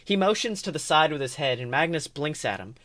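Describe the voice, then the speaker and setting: A man with a fairly high voice reads fan fiction aloud as a podcast host. His narration is expressive. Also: a little fast.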